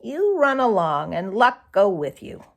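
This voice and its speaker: An aging granny fox from a children's storybook, played by a woman reader. She is sharp yet kindly, a little weary, and talks slowly in folksy phrases. Her pitch rises and falls freely. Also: big pitch swings, gravelly, full character voice. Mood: weary, resigned. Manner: gently scolding, folksy, old-fashioned phrasing.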